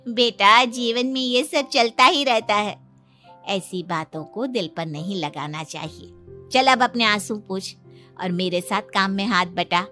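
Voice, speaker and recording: Woman doing melodramatic middle-aged mother-in-law for a story cartoon, sharp bright voice, protective and bossy. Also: medium pitch, measured pace, soft background music. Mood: reassuring. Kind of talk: dramatized Hindi moral story for animation.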